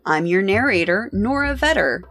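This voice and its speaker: A woman narrating a podcast in a clear, scripted way. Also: mid-range voice, lively intonation, normal speed, a bit bright.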